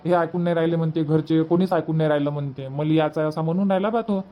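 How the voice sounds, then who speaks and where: A man's voice: a little high, fairly even intonation, rapid-fire, lo-fi sound, a little hiss or hum, lightly acted. A man voicing a practical village uncle in a cartoon family comedy, speaking rural Varhadi Marathi. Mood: explaining.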